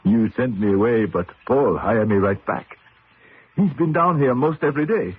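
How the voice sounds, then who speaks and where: An actor playing a steady, mystical racing-team hand in a radio mystery, with terse, deliberate, foreign-flavored phrasing. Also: male voice, medium pitch, breathy edge. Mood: loyal. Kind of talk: radio mystery drama acting. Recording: muffled narrow-band old radio recording.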